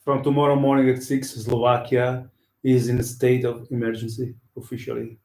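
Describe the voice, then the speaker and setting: A man speaks haltingly in casual chat, searching for his words. His voice is mellow and dark. An echoey room surrounds him. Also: mid-range voice, flat delivery.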